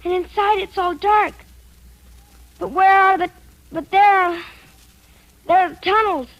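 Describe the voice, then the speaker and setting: A woman's voice: extremely high pitch, fairly even intonation, a little slow, lo-fi sound. A woman playing a distraught, haunted young boy, plaintive and insistent, in an old-time radio drama. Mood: earnest, insistent. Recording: vintage radio drama recording.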